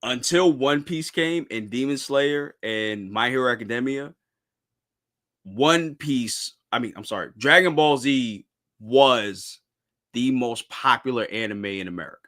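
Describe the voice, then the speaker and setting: A man's voice: mid-range voice, a little slow, fairly bright tone. A man in his thirties hosting a casual podcast talk about anime, rambling with restarts and tossing in "bro". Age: 30s. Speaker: podcast host.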